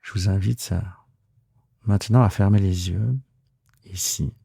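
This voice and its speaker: A man guiding a relaxation meditation in a soft, husky, gravelly voice, soothing and unhurried, with hesitant pauses. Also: low voice, steady tone, no echo, studio quality. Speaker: yoga nidra guide and podcast host.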